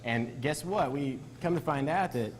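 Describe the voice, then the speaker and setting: A man's voice: on the high side, unhurried, slight background noise. A man, a physician guest on a TV interview, explaining things earnestly with some ums and uhs. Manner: earnest, explanatory, many fillers. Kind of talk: TV interview answers. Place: barbershop on location.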